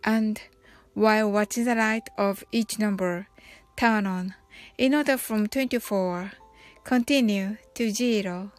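Slow-paced woman guiding meditation over music. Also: mid-range voice. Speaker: host of a mindfulness and English audio show. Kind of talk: guided meditation in two languages, slow and measured. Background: background music.

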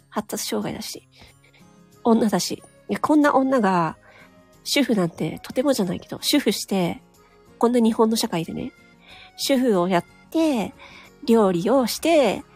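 A woman with a higher, breathy voice that swings up and down lively as she talks. She speaks alone in a frank, chatty monologue, full of little nodding asides, while faint music plays behind her. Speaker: solo audio broadcaster. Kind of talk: casual solo monologue.

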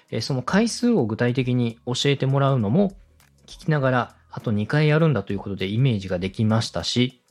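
A man's voice: mid-range voice, darkish tone. A man hosting a podcast, giving polite, methodical, explanatory advice in a structured way. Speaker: podcast host, radio director coaching a co-host.

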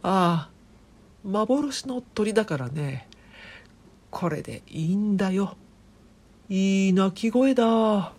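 A woman playing an eccentric, excitable professor in a comic audio drama, with pompous, theatrical, old-fashioned formal speech. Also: mid-range voice, slow, pauses often, breathy edge. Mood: content. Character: eccentric, excitable professor obsessed with a phantom bird. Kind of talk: comedic audio drama acting.

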